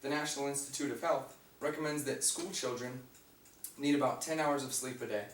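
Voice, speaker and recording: A young man with a raspy voice giving an informative class speech, reading from notes with fillers and restarts. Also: mid-range voice, fairly even intonation, a little fast. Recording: echoey room, mic far off, faint hum.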